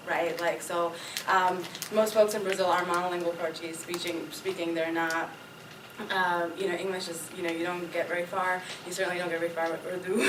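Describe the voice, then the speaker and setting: Woman from Pakistan, panelist, chatty, distant mic, background noise. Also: lowish voice. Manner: chatty, laughs often. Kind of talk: casual panel talk. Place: conference hall. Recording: echoey hall from far off, noisy.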